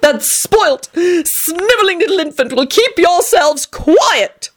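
A woman voicing a pompous, theatrical male vampire who relishes telling his own grand tale, slow and drawn out. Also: extremely high pitch, expressive, voice pushed up, heavily acted. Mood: indignant. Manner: grandiose, drawn-out, preening delivery.

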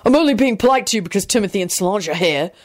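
A woman's voice: medium pitch, big pitch swings, fairly quick. A woman voicing a scornful, foul-mouthed young male prankster wizard in a story reading. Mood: cold mockery. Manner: scornful, mocking, taunting.

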